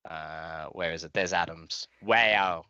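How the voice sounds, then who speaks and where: A man's voice: low-pitched, takes it slowly, pushed and bright, highs cut off. A man, around his thirties, giving an informal, explanatory live coding walkthrough as an instructor over a video call. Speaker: software tutorial instructor. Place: indoor room, video call.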